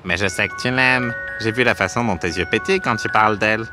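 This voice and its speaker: A man's voice: on the low side, fast talker, over music, natural voice. A man voicing a friendly, sympathetic teenage boy in a cartoon story, speaking reassuringly.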